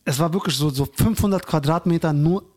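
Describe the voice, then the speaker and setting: A man's voice: on the high side, brisk, a little mellow. A man chatting casually on a podcast, telling anecdotes and acting out the dialogue as he goes. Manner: acts out quoted dialogue while telling stories.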